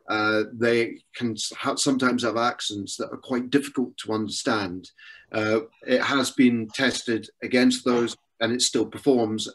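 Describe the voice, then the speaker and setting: Halting Scottish man explaining technical things. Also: medium pitch. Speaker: consultant presenting and answering questions. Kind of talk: informal technical question-and-answer talk.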